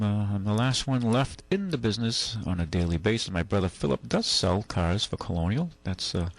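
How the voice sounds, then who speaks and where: An older man hosting a call-in radio talk show, rambling and folksy with many restarts. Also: fairly low pitch. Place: radio studio.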